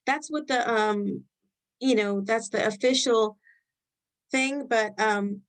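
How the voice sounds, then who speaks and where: A woman with a Rhode Island accent hosting a live health webinar, talking loosely and thinking out loud as she goes. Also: on the high side, slow pace. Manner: loose, conversational, thinks aloud while reading the chat. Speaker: webinar host.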